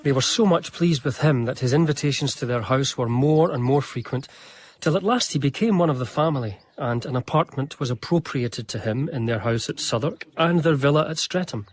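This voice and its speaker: An actor playing an earnest, devoted biographer who narrates a radio drama in a soft, admiring tone. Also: male voice, mid-range voice, a little fast, natural voice.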